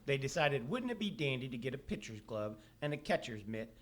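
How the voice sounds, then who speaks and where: An actor playing a folksy, matter-of-fact son who explains things patiently in an old-time radio comedy. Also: male voice, medium pitch, sing-song, brisk. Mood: dry, explaining. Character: dry, know-it-all son explaining patiently.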